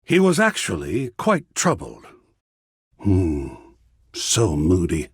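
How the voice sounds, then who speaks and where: A man's voice: low-pitched, wildly swooping pitch, slow pace, slightly rough, dry recording, clean studio sound, exaggerated voice. An actor playing an effusive, sensitive male art connoisseur in a video game, speaking in a florid, theatrical, musing way. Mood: eager, welcoming. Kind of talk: scripted game character dialogue.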